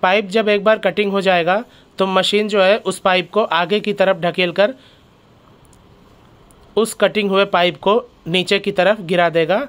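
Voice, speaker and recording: Guy with a high clear voice walking thru a factory, explaining stuff to his viewers. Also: steady tone, a little slow, fairly bright tone. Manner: explains step by step, keeps addressing viewers as friends. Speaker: channel host. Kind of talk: factory tour vlog narration.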